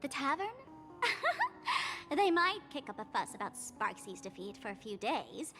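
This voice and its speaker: A woman's voice: sits high, very animated, measured pace, pushed and bright, faint music behind, full character voice. A woman playing a playful, enigmatic young trickster in an anime-style game, teasing and theatrical. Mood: amused, dismissive.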